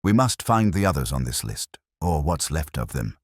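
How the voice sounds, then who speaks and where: A man voicing a courteous, quietly incisive police inspector in a detective story, mild and understated. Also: a bass voice, sing-song, moderate pace, slightly rough, no echo, exaggerated voice. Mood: quietly grave.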